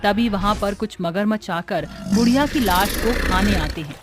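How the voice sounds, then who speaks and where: A woman's voice: mid-range voice, moderate pace, very noisy. A woman reading scripted horror storytelling narration as the story's narrator. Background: background music.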